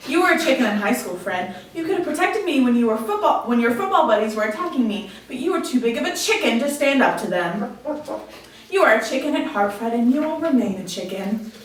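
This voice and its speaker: A woman's voice: fairly high pitch, sing-song, a little fast. A woman playing a vengeful young evil witch in a script table read, taunting and scolding. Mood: cool, accusing. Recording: echoey room, far mic, faint noise.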